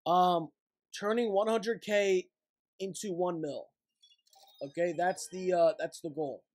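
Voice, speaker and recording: A young man in his twenties chats casually as he hosts a livestream. His delivery is blunt and irritable, peppered with swearing. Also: high-pitched. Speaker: livestream host. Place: small dim gaming room at home.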